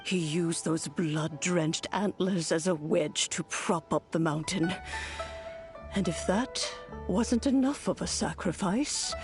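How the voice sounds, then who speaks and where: A wise woman of legends, speaks fairly low and very slowly. Her storytelling is calm, solemn and gently wry, in an anime game style with music underneath.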